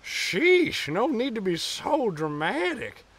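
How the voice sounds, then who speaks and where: A man's voice: high voice, wildly swooping pitch, takes it slowly, a bit bright, slightly rough, voice pushed up, exaggerated voice. A man voicing a breezy, folksy cowboy folk hero in an audio drama.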